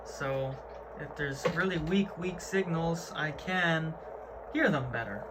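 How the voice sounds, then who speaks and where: A man's voice: fairly high pitch, takes it slowly. A man giving an informal, matter-of-fact, slangy hobbyist tour of his gear in an unscripted way, recorded indoors. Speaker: hobbyist presenter. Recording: clearly noisy amateur video recording.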